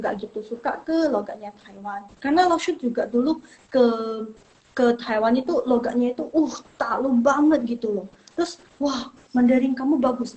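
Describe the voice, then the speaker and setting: Brief: young Indonesian woman teacher, fairly high voice, chatty and reassuring, repeats herself. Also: a little mellow, rough recording. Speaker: Mandarin teacher. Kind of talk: casual live online lesson.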